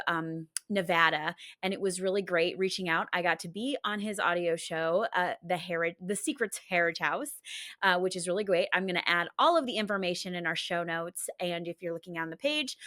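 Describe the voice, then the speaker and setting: A woman's voice: medium pitch, fairly bright tone, no echo, studio quality. A woman hosting a podcast, talking in an informal, chatty way. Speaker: podcast host. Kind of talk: casual podcast introduction and interview chat.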